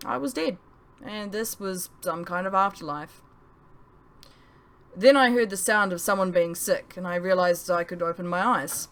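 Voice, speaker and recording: A woman's voice: lowish voice, fairly bright tone. A woman reading her own novel aloud in a dramatic audiobook narration style.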